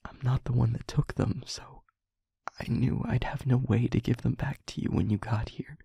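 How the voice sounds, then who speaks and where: A man playing a guilt-ridden demon lover in an audio roleplay, confessing tenderly and in anguish in a halting, breathy, husky hush. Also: mid-range voice, steady tone, dry recording. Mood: anguished, confessional. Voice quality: breathy, husky, often whispering. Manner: halting, hushed, dramatic pauses. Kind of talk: intimate audio roleplay monologue.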